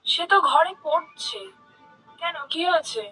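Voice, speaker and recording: A woman's voice: very high voice, animated, a little slow, sharp tone, soft background music, full character voice, poor audio. A woman playing a questioning village housewife in a cartoon. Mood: suspicious, puzzled. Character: suspicious village housewife, shopkeeper's wife. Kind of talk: cartoon character acting.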